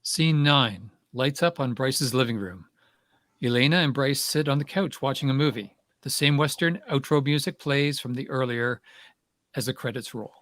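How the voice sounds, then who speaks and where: A man's voice: mid-range voice, normal speed, a bit bright, dry recording. A man reading stage directions as scripted narration for a play.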